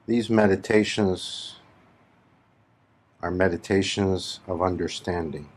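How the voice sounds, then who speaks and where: Low-voiced man, very slow guided meditation. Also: steady tone. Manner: measured, deliberate, with long pauses between short phrases. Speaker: spiritual teacher leading a guided meditation.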